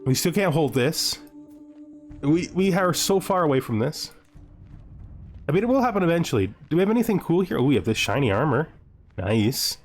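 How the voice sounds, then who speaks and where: A man's voice: on the high side, big pitch swings, normal speed, long pauses, soft background music. A man hosting a gaming video, thinking aloud in casual commentary and playfully gloating with in-character asides.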